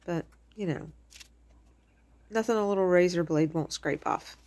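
A woman's voice: fairly low pitch, measured pace, a little mellow. A middle-aged woman with a slightly stuffy, congested voice, chatting casually through a home craft tutorial with lots of fillers. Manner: rambling and chatty, many fillers. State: stuffy from allergies. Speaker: crafting channel host. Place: home kitchen.

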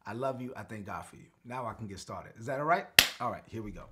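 Middle-aged pastor from Brooklyn, daily livestream sermon, earnest and declarative, repeats phrases for viewers, seated home-studio setup. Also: male voice, on the low side, animated, fast talker, very noisy. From Brooklyn, New York. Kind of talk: live-streamed daily sermon. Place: home studio with lit shelves.

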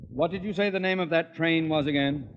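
An actor playing a pompous, scheming middle-aged school principal in old radio comedy, with a blustering, wheedling, theatrical manner. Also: male voice, a little high, a little fast, in a large hall, highs cut off, natural voice. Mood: brisk. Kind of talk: 1950s radio comedy acting. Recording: noisy old-time radio recording.

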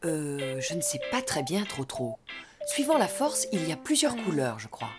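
A woman playing a gentle, patient mother donkey in a French children's cartoon, soft and encouraging. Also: low voice, sing-song, moderate pace. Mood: patient, unsure. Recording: music behind the voice.

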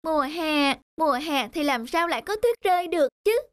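A woman's voice: high voice, expressive, moderate pace, no room sound, clean studio sound. A woman voicing an innocent, friendly little boy in a cartoon drama, with a childlike, eager, curious manner. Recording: loud children's music behind.

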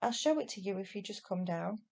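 A woman voicing an excitable, earnest and insistent young boy in a children's storybook reading. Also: medium pitch, a little fast, creaky.

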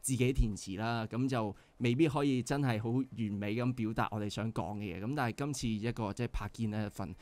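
A man's voice: medium pitch, brisk, hardly any pauses, darkish tone. A young man with a Hong Kong Cantonese accent, a band member guest on a radio show, explaining earnestly with many filler sounds. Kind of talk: casual radio interview. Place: radio studio.

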